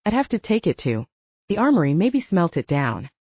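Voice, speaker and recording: A woman's voice: on the low side, big pitch swings, normal speed, a little mellow, husky, no echo, highs cut off. A woman reading first-person fiction as a scripted audiobook narration.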